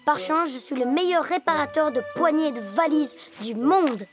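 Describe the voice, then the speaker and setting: A woman plays a careful young boy inventor in a children's cartoon, his voice high and youthful. He talks sensibly and warily, always hoping to avoid trouble. Loud cheerful music runs behind him. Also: moderate pace, lightly acted. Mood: cautious. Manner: careful, reasonable, wary. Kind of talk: cartoon voice acting.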